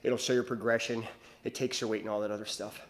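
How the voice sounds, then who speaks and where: A man's voice: on the low side, little pitch movement, fast. A male bodybuilder vlogging to his viewers in casual chat, rambling and hesitant, with lots of fillers and restarts.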